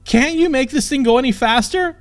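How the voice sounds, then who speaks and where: A man voicing an exasperated, sharp-tongued young princess in a comic fantasy audiobook, incredulous and scolding. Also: fairly quick. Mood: urgent. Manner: incredulous, scolding, shrill when alarmed.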